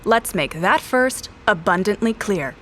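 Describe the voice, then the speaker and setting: An actress playing a blunt, distrustful special agent in a noir audio drama, narrating and confronting in terse lines. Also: female voice, mid-range voice, expressive, a little slow, a bit bright, a little hiss or hum. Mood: confrontational. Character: hard-boiled, distrustful female special agent. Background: background music.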